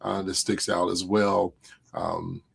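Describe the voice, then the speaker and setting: A man co-hosting a live online summit, eager and halting, often restarting his sentences. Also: low-pitched, flat delivery, creaky, slight background noise. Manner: enthusiastic, halting, with many restarts. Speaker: event co-host.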